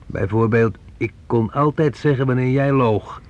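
A grown son in a radio drama played by a male actor, talkative and teasing, with a sly menace under his familiar manner. His voice sits at an ordinary male pitch, and there is faint noise on the old recording. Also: a little slow, darkish tone, lo-fi sound, close to an everyday voice. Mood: slyly teasing. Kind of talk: Dutch radio play acting.

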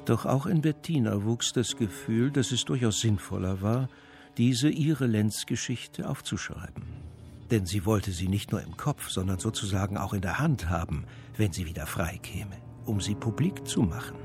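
A man narrating a radio crime drama in measured, long flowing sentences, with a slightly gravelly voice. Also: lowish voice, animated, darkish tone. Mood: composed, reflective. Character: composed narrator of a crime story. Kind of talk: scripted third-person radio drama narration. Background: music.

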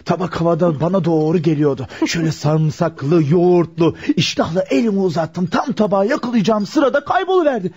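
Affectionate young son, soft husky voice. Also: male voice, sits high, expressive, a little fast, few pauses. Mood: teasing, affectionate. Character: affectionate, teasing young son back from military service. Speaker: radio drama actor. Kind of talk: naturalistic radio play dialogue. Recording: dull lo-fi radio play recording.

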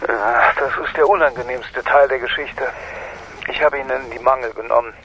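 An actor playing a businesslike senior police investigator, giving a formal, precise briefing in a radio drama. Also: male voice, medium pitch, steady tone, normal speed, dull audio, a little hiss or hum, lightly acted. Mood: firm, grave.

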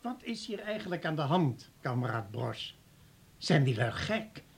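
An exasperated medical director in a radio drama. The voice is fairly high and husky, with very lively intonation, and the delivery is emphatic, incredulous and sarcastic. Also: male voice, a little slow, heavily acted. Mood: baffled. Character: exasperated male professor, hospital medical director. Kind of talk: Dutch radio play acting.

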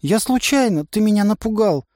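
A man voicing a timid but stubborn young woman who talks in a squeaky, plaintive way. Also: expressive, moderate pace, mellow, no room sound, studio quality. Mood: defensive. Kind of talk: audiobook character voice.

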